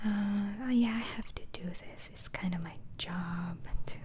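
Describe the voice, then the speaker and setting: A woman plays a polite, patient doctor in an ASMR roleplay. She speaks in a soft, dark, breathy whisper at a very unhurried pace, hesitating and repeating phrases as she gently questions, with noticeable noise behind her. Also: mid-range voice. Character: polite, patient female asylum doctor interviewing a patient.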